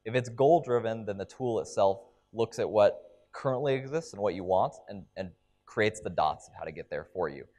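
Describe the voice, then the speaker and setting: A man's voice: fairly low pitch, animated, normal speed, a little mellow. A young man giving a conference keynote on a large stage into a headset mic, explaining ideas with frequent ums and restarts. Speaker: keynote speaker.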